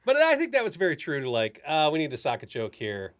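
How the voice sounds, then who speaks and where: A man's voice: on the high side, wildly swooping pitch, fast talker, dry recording, studio quality. An American man chatting casually as a writer guest on a podcast. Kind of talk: casual chat.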